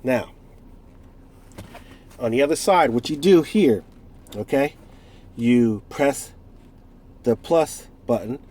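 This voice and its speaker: A man's voice: mid-range voice, lively intonation, slow pace, pauses often, a little mellow. A man in his forties from the United States with a stuffed-up nose from a cold, casually reviewing a product in a rambling, self-correcting way with jokey asides. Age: 40s.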